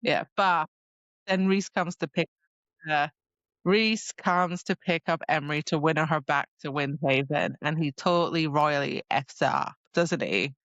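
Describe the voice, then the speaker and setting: A woman's voice: low-pitched, unhurried, a bit bright, no echo. Chatty woman podcast co-host, slightly muffled sound. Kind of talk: casual book discussion chat.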